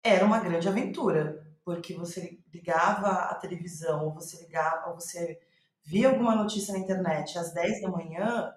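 A woman with a Brazilian Portuguese accent speaking reflectively in an interview, thinking aloud and restating her phrases as she goes. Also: mid-range voice. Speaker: playwright, podcast guest. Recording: roomy, echoey sound.

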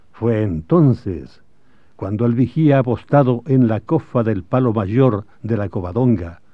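A man with a Chilean accent narrates a historical radio drama in a formal, measured storytelling manner. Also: medium pitch, dry recording. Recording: dull old radio drama recording.

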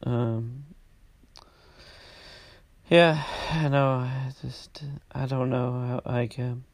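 A man hosting his own podcast, talking casually about his week, congested and halting with many restarts. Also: medium pitch, fairly even intonation, no room sound. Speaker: podcast host. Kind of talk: casual personal update.

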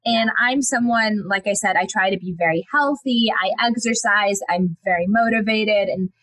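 A woman hosting a casual interview, chatty and quick, often restarting her sentences. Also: mid-range voice, a bit bright, no room sound. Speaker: interview host.

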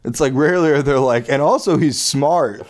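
A man's voice: a little high, normal speed, very noisy. A man in casual late-night comedic chat, riffing jokes and laughing often. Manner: giggly, riffing, frequent laughter.

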